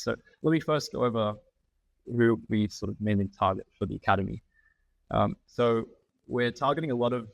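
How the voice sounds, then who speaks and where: A man in his thirties with an Australian accent, a podcast guest explaining his work in a measured way, with frequent "um" fillers. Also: lowish voice, gravelly, dry recording. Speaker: podcast guest, founding partner. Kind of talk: conversational interview.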